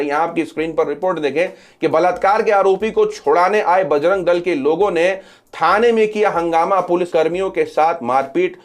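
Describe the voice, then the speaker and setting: Male news commentator, pitched slightly high, explanatory update style with emphatic repetition. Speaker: social media news commentator. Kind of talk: news commentary sharing updates to camera or over screen.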